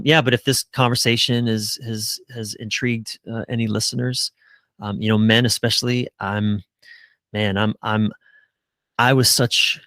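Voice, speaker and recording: A man's voice: mid-range voice, a bit bright, dry recording. A middle-aged man giving earnest, casual answers as a relationship coach in a conversational interview. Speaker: relationship coach, podcast guest.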